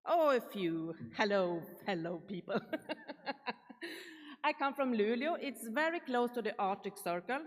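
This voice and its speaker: A woman's voice: fairly high pitch, lively intonation, slow. A woman from Sweden with a Swedish accent, giving a chatty conference keynote and asking the audience questions. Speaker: keynote speaker. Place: large conference hall. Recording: reverberant hall.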